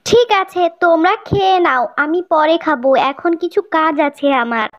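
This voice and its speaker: Woman voicing very high-pitched, girlish cartoon family figures. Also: lively intonation, moderate pace. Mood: cheerful, caring. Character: village mother and her sweet young daughter. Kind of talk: cartoon fairy tale voice acting. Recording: dull lo-fi sound.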